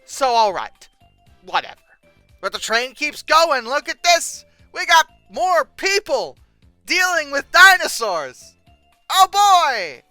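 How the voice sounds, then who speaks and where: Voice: sits high, very animated, slow, bright, forceful tone, no room sound, faint music behind. A host giving casual comedic commentary in an exasperated, sarcastic and incredulous manner. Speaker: host reacting to old book text and pictures. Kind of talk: casual comedic commentary with read-aloud passages.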